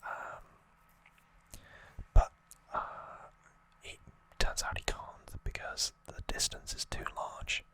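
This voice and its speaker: A man's voice: slow pace, sharp tone. A man whispering as an ASMR host, giving an informal, rambling trivia recap and correcting himself as he goes.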